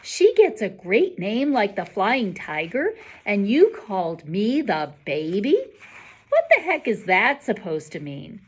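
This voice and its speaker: A woman's voice: mid-range voice, very animated, slow pace, slight background noise. Woman voicing a bossy, indignant school girl. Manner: demanding, blunt.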